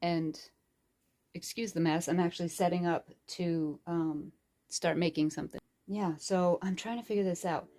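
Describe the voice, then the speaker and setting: Hoarse, chatty woman crafting at home. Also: on the low side, steady tone, normal speed, dark tone. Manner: rambling and chatty, with frequent fillers. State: hoarse with a sore throat. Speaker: crafting channel host. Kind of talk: casual talk-through craft tutorial. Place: small cluttered bedroom craft corner, indoors. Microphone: phone camera.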